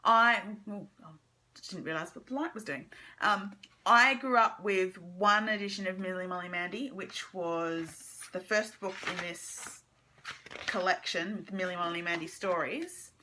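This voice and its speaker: A young woman giving a casual, halting book review to camera, informally trailing off and circling back. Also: lowish voice, bright, forceful tone, slight background noise. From Australia.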